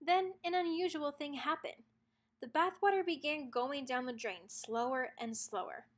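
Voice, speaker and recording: A woman narrating a children's storybook aloud in an expressive read-aloud style. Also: high-pitched, normal speed.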